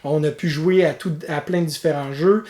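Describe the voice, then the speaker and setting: Young Québécois man, fairly high-pitched, rambling friendly host talk in an echoey room. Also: steady tone, normal speed, mellow. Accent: Québécois French. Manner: chatty, many fillers and restarts. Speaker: board game channel host. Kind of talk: casual monthly vlog update.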